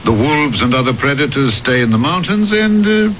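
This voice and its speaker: An actor playing a measured, wry police magistrate in a 1970s radio drama. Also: male voice, mid-range voice, big pitch swings, fairly bright tone. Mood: amused, reassuring. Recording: dull, faintly noisy old radio recording.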